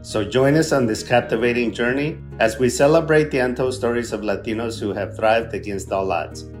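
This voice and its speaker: A man's voice: mid-range voice, moderate pace, soft background music. A man hosting a podcast, reading an upbeat scripted introduction and then asking questions with some hesitation. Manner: earnest, promotional delivery with fillers in questions. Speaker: podcast host.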